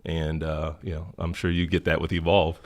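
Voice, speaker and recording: A man's voice: a bass voice, level tone, moderate pace. A middle-aged man from Florida, a podcast guest speaking earnestly and reflectively, repeating key phrases for emphasis. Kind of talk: casual interview talk.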